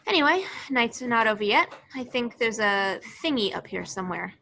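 A woman as a bright-voiced, cool, teasing young woman. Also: a little high, animated, fairly quick, vocal fry, exaggerated voice. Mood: teasing, dry. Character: cool, blunt, teasing young woman, casually aloof love interest. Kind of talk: amateur screenplay table reading. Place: online group video call.

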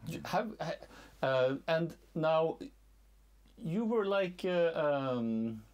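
A man's voice: on the high side, animated, darkish tone, clean tone. Playful male talk show host. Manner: playful, teasing, halting with fillers. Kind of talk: casual interview chat. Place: cluttered storage room indoors.

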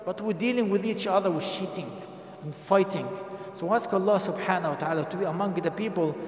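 A man's voice: sits high, a little fast. Older man preaching in an echoey mosque. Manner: earnest, exhorting, rising to emphatic stress, asks the congregation questions; non-native English with simple grammar, Arabic phrases and prayers. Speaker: imam giving Friday sermon. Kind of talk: sermon. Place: mosque prayer hall, indoors. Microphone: stand microphone at a lectern.

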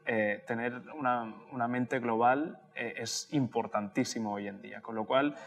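A man in his thirties from Barcelona, a tech executive answering interview questions in a relaxed, thoughtful way with many restarts and easy laughs. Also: mid-range voice, moderate pace, faint music behind. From Barcelona, Spain. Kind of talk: casual conversational interview. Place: indoor office lounge.

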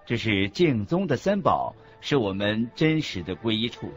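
A man's voice: lowish voice, sing-song, moderate pace. A man reading scripted religious teaching for a radio drama in a careful, didactic manner. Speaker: narrator voicing a monk's teachings. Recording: muffled audio with music bed. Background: background music.

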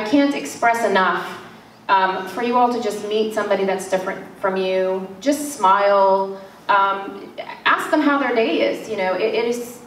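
A woman's voice: mid-range voice, a little hiss or hum. A young woman speaking earnestly into a handheld mic at a panel discussion, pleading with her audience. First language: Arabic or Turkish. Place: large church hall. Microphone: handheld mic at a podium. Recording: echoey hall from far off.

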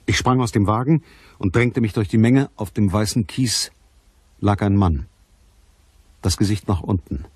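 A man's voice: fairly low pitch, normal speed, long pauses, a little mellow, slightly rough, no room sound. A man acting a cool, matter-of-fact private detective in a crime radio play. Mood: sober narrating. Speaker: lead actor and narrator of a crime radio play.

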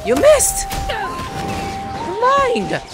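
A man giving casual gameplay commentary, excitable and playful, prone to swearing. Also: extremely high pitch, drawn-out words. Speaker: gaming streamer. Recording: loud game music behind. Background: loud game music.

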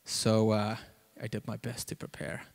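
A man gives an informal sermon and testimony into a handheld mic, halting and conversational, with lots of "like" and "you know.". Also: fairly low pitch, monotone, gravelly. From South Africa. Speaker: preacher. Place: church auditorium, on stage before seated congregation. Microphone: handheld microphone.

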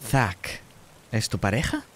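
Spanish guy running a horror rpg, low voice, short descriptive phrases, faint noise behind. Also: wildly swooping pitch, slow pace, a bit bright. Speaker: game master. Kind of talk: narrating a tabletop horror roleplaying game.

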